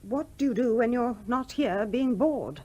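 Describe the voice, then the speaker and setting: An actress playing a gentle, youngish English widow in a 1970s radio play, polite and reserved but primly spirited. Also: female voice, a little high, expressive, unhurried, muffled recording. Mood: earnest, prim.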